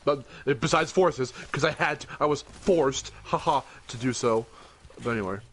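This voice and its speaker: A man's voice: mid-range voice, moderate pace, a bit bright, slight background noise. A young man with a groggy morning voice, chatting casually as a podcast co-host, rambling and correcting himself, with the odd swear word. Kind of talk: casual chat.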